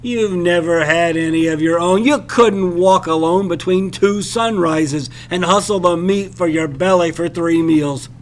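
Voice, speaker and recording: Scornful, masterful sea captain for an audiobook acted by a man, forceful, demanding, high-pitched. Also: a little slow, hardly any pauses.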